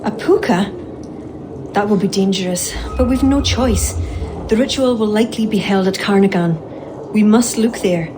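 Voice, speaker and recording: A woman's voice: mid-range voice, moderate pace, noisy background, close to an everyday voice. An actress playing a grave, knowing Irish woman in a video game, earnest and explanatory, with an Irish accent. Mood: grave, cautioning. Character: grave, knowing female Irish poet guide.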